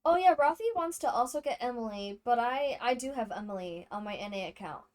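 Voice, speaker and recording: A woman's voice: on the high side, lively intonation, a little fast, darkish tone. A woman livestreamer giving casual, chatty gameplay commentary to her viewers, speaking off the cuff. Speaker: game livestreamer.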